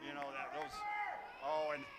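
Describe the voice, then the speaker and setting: An American man giving earnest live wrestling color commentary, often halting and repeating his phrases. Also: mid-range voice, completely flat delivery, over a noisy crowd, very noisy. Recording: loud music behind, live event. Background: loud music at a live wrestling event.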